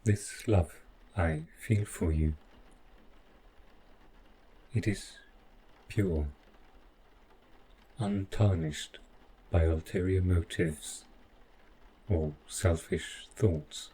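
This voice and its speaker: A devoted, quietly possessive robot butler, played by a man in an audio drama. The voice is very low and mellow, and it moves very slowly in formal, precise, measured phrases. Noticeable background ambience sits behind it. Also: fairly even intonation, a little hiss or hum, low-quality recording. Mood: earnest, devoted. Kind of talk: scripted roleplay audio drama monologue.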